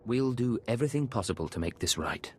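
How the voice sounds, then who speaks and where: A man's voice: mid-range voice, animated, a little fast, darkish tone, husky, soft background music. A man playing a haughty, self-assured secret agent posing as a count in a video game. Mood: polite, probing.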